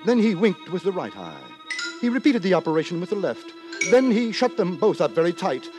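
An actor playing an earnest, moralizing gentleman writer who narrates in florid, theatrical style in a 1950s radio drama. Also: high-pitched, huge pitch swings, a little fast. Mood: bemused narrating. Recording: 1950s radio broadcast with music.

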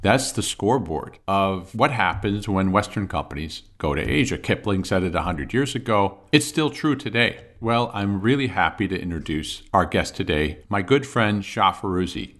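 A man's voice: lowish voice, fairly bright tone. A man hosting an interview show, introducing his guest and firing off short questions in a chatty, upbeat way. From Michigan. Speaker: podcast host. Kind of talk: interview with quick-fire questions.

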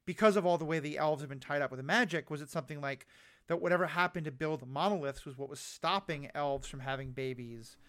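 A man's voice: fairly high pitch, fast, fairly bright tone. A man, co-hosting a podcast, rambling with many fillers in casual chat. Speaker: podcast co-host.

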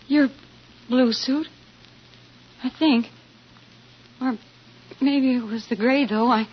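An actress playing a nervous, evasive wife with an anxious, hesitant, stammering manner in 1940s radio drama style. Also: female voice, sits high, slow. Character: nervous, evasive wife hiding something from her husband. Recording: dull, faintly noisy vintage radio.

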